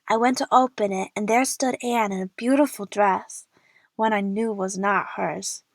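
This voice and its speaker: A woman's voice: mid-range voice, steady tone, moderate pace, vocal fry, no room sound. A young woman playing an eager, chatty movie fan who narrates her adventure in the first person for an audio drama. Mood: reflective narration.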